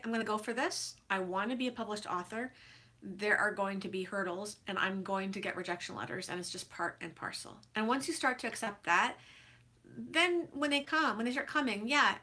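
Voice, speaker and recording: A woman's voice: medium pitch, moderate pace, bright, forceful tone. A woman in her forties with a head cold, chatting casually and congested, with many fillers and restarts, giving writing advice in a vlog. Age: 40s. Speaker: author vlogger.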